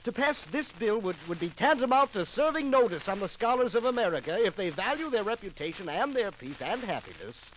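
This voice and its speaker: An impassioned orator addressing a legislature in old radio drama style. His fairly high voice rises and falls dramatically through formal, emphatic rhetoric. The 1950s recording carries light surface noise. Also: very animated, fairly quick, hardly any pauses, a bit bright, low-quality recording. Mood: mounting outrage. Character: impassioned male orator opposing a bill in a legislature.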